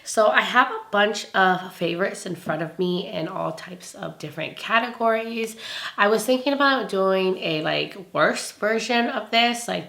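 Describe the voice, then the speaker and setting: A young woman with a hoarse voice from laryngitis, gushing about beauty products in a bubbly, casual review. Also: medium pitch, pushed and bright. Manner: bubbly, gushing, laughs often. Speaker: beauty vlogger.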